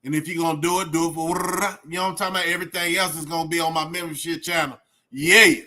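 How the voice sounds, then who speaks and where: A man's voice: fairly high pitch, steady tone, quick pace, pushed and bright, a little hiss or hum. A man from Texas, hosting a casual livestream in streetwise slang and giving opinions straight to viewers. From Texas, United States. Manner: streetwise slangy talk with frequent "man" and "bro". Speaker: host of a reaction livestream.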